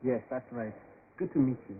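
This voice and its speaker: An actor playing a calm, agreeable young husband in an educational radio drama, speaking in a plain, low-key way. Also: male voice, a little fast, natural voice. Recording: faint-hiss shortwave, tinny band.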